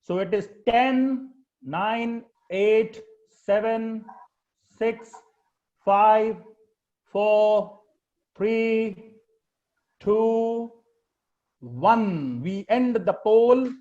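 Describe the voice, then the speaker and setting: A webinar moderator counting down a poll slowly and handing over to the next speaker on a video call. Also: mid-range voice, mellow, muffled recording. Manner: slow, deliberate counting.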